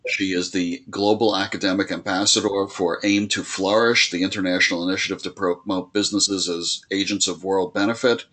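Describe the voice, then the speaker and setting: A man hosting an online webinar, giving a formal, deliberate introduction with some fillers. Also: deep voice, sharp tone. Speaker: webinar host.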